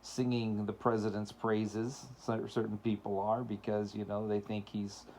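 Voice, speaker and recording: Man, middle-aged, rambling casual talk, gravelly, fairly low voice, faint background hiss. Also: flat delivery, unhurried, mellow. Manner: rambling, many fillers, laughs often. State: voice cracking. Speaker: show host. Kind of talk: casual monologue.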